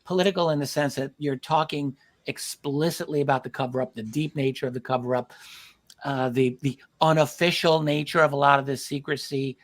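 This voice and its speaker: Man, average pitch, talk show interviewer, conversational, halting measured phrasing. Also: steady tone.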